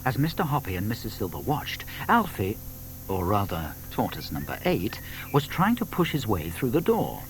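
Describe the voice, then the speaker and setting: A British man narrating a children's story in an expressive, scripted storytelling style. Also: lowish voice, normal speed, a little hiss or hum, poor audio.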